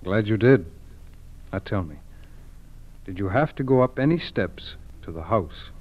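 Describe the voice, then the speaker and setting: An actor playing a calm, shrewd male FBI agent in a 1940s radio crime drama, measured and courteous. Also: lowish voice. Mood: probing. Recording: thin, narrow-band vintage radio broadcast.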